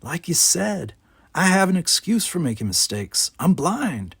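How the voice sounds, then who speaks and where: A shy young academy cadet, voiced by a man reading a novel aloud, sounds hesitant and apologetic. The voice is lifted in pitch and husky, recorded dry. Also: very animated, a little slow, fairly bright tone, no echo, exaggerated voice. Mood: subdued. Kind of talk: novel read-aloud character voice.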